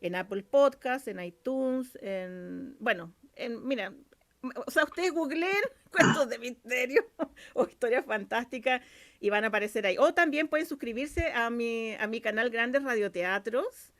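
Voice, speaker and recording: Chilean woman, interview guest, slightly high voice, casual chat, informal and talkative, repeats words. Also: lively intonation, unhurried. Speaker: interview guest, radio drama writer and producer.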